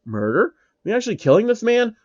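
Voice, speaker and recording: A man voicing a grumpy, blunt male gang member who makes dry, flat remarks. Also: very high voice, brisk, no echo, lo-fi sound, pitched above the performer's own voice, exaggerated voice.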